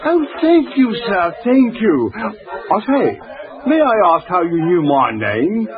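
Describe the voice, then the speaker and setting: An actor playing a cheerful, deferential little street busker with theatrical patter, in 1940s radio drama style. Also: male voice, high-pitched, very animated, takes it slowly, heavily acted. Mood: cheerful, ingratiating. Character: cheerful, obsequious little clown-like street busker with a guilty past. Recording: tinny vintage radio broadcast, crowd behind.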